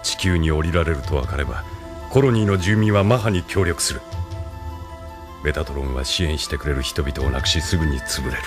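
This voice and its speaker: An actor playing a calm, imperious military leader in an audio drama, with a measured, condescending, lecturing manner. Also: male voice, a bass voice, over music. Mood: cold, commanding. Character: calm, imperious male military leader, idealist turned cynical schemer. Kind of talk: anime-style audio drama acting.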